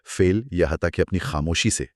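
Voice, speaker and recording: A man's voice: low voice, normal speed, no room sound, clean studio sound. A man co-presenting a lecture as a scripted two-person dialogue, explaining in a didactic way.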